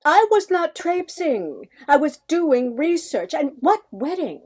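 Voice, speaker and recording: A woman's voice: high-pitched, very animated, exaggerated voice. A woman playing a headstrong, witty woman professor of nearly thirty in a radio comedy play, with dry retorts. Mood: exasperated, wry. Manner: dry, quick-witted retorts.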